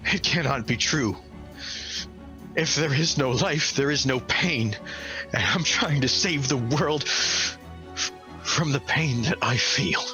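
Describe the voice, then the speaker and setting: A man voicing an older archmage, grieving and despairing, speaking slowly and solemnly in a tabletop fantasy game, with music behind. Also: mid-range voice, pushed and bright. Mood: anguished. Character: grieving, tormented older male High Arcanist, enraged and despairing.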